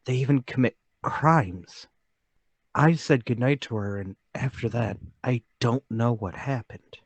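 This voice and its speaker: A man's voice: medium pitch, unhurried, dry recording. An actor playing a meek, troubled travelling salesman, hesitant and deferential, in a table read of a period murder mystery. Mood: anxious, unsure.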